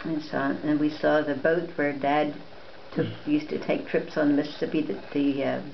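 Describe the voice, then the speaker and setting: An older relative at a family dinner, reminiscing in a halting way. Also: medium pitch, level tone, gravelly, slight background noise. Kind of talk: casual reminiscing. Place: home dinner table. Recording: lo-fi room recording.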